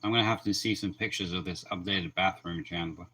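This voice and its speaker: Deep bright-voiced man, easygoing. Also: fairly even intonation, brisk, breathy edge. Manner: easygoing, teasing, repeats words when starting. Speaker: co-host of an aquarium livestream. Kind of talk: casual chat.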